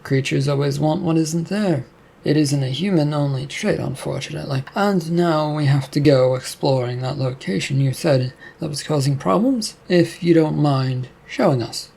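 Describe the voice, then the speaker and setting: A man's voice: on the high side, normal speed, vocal fry, a little mellow, breathy edge. A man playing a firm demon hunter. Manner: firm, matter-of-fact.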